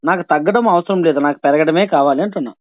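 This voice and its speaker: Man, high voice, rapid-fire speech, sarcastic, insistent, repetitive, arguing borrower, thin phone-line recording. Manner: sarcastic, insistent, repeats himself. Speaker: borrower on a debt collection call. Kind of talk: argumentative phone conversation. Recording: thin, band-limited phone call recording.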